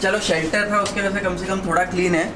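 A man's voice: sits high, fairly even intonation, fast. A young man with an Indian accent chatting casually as a motorbike vlogger, mixing in English words, on a handheld camera outdoors. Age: young adult. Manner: mixes English words into Hindi. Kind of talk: casual vlog chat. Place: covered open-air home driveway. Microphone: handheld camera at arm's length. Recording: loud outdoor street noise.

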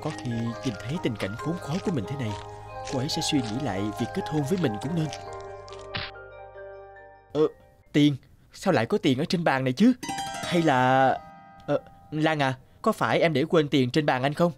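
A man's voice: on the high side, moderate pace, pauses often, over music, lightly acted. A man voicing an earnest, anxious young suitor in a cartoon, speaking a little hesitantly. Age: young adult. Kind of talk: cartoon voice acting.

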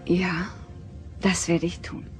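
A woman's voice: lowish voice, little pitch movement, slow. An actress playing a sincere, composed young female starship officer in a radio drama. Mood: resigned. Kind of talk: German radio play acting. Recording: loud music behind radio drama.

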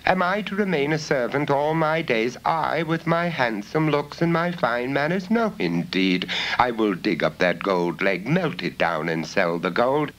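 A man's voice: medium pitch, lively intonation, a little slow, few pauses, a bit bright, pitched above the performer's own voice, low-quality recording. A man voicing a conceited, greedy young valet with a preening, haughty manner in a storybook reading. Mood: smug scheming.

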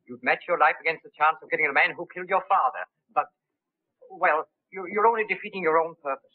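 A man's voice: a little high, fast talker, dry recording, natural voice. An actor playing a deferential, loyal manservant in a 1930s film, polite and formal, calling his employer sir. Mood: earnest. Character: deferential loyal male manservant, middle-aged. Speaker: loyal butler Hendricks. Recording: tinny old 1930s film soundtrack.

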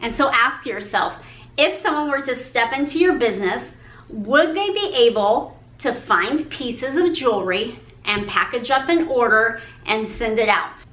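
A woman's voice: fairly high pitch, lively intonation, measured pace, a bit bright, echoey room. A woman in her forties talking to the camera, upbeat and encouraging as she shares business tips and gestures while she speaks. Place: room with a pink painted brick wall.

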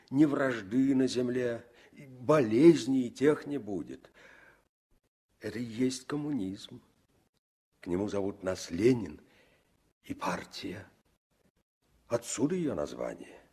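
An actor playing an earnest, kindly revolutionary sailor, teaching emphatically, in a 1960s radio drama. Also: male voice, medium pitch, lively intonation, warm tone, slightly rough. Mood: solemn, dreamy. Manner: didactic, emphatic, deliberate.

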